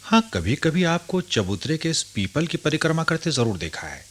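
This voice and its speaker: A man acting a respectful, curious grandson in a Hindi radio drama, speaking and narrating in an earnest, deliberate way. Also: fairly high pitch, sing-song, fairly bright tone, slight background noise. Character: respectful, curious grown grandson who narrates his memories.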